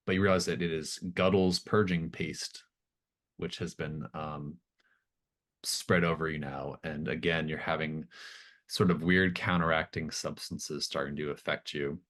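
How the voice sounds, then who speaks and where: Very deep-voiced man running a tabletop game. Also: steady tone, a little slow, a bit bright. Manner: hesitant, thinking aloud, conversational. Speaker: game master. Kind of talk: improvised tabletop game narration.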